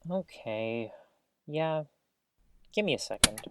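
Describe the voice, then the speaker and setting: An actress playing a defensive, grudging radio technician and co-host in an audio drama, with a casual, dry, reluctant way of talking. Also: female voice, a bass voice, lively intonation, slow, dry recording, heavily acted. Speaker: radio show co-host and board operator.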